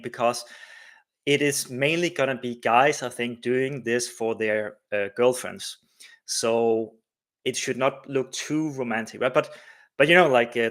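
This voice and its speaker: Man from denmark, live stream host, rambling, lots of ums and restarts, mid-pitched voice. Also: fairly bright tone. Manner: rambling, many fillers and restarts. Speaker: livestream host, developer. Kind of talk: casual live coding stream.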